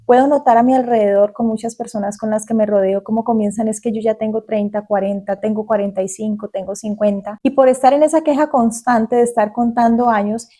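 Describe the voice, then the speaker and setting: A woman in her forties from Colombia, speaking calmly and earnestly to the viewer in a reflective monologue, outdoors in the countryside. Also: on the high side, a little fast, few pauses. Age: 40s. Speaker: vlogger. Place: outdoors in the countryside facing mountains.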